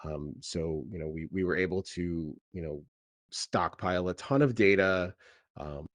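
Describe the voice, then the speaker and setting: A man speaking casually as an interviewed tech founder, in a halting way full of filler phrases. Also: low-pitched, dry recording. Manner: hesitant, halting delivery with many filler phrases.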